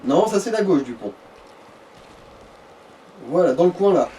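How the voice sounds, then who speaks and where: Male co-commentator, soft dark tone, a bit high, hesitant slangy directions, off-mic with some noise. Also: big pitch swings, measured pace. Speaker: co-commentator guiding the player. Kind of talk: casual gameplay chat, giving directions. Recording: distant mic with background noise.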